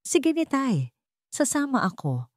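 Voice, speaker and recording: A woman's voice: high-pitched, wildly swooping pitch, unhurried, darkish tone, dry recording, studio quality, pitched above the performer's own voice, full character voice. Woman voicing a loyal, forgiving young working man. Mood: agreeing.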